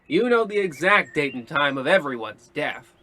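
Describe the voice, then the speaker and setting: A man's voice: a little high, very animated, moderate pace, bright, forceful tone, exaggerated voice. An actor playing a brash, hard-boiled police detective in old-time radio comedy style, with punchy tough-guy delivery. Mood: stern, pressing.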